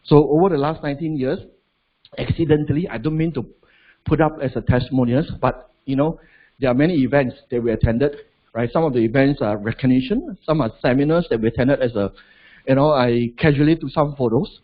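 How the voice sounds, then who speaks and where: Husky Malaysian man addressing a crowd. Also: mid-range voice, a little fast, narrow, tinny audio. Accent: Malaysian English. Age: 40s. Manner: chatty, asks the crowd rhetorical questions, adds "lah". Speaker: motivational business speaker. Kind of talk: live seminar talk to an audience.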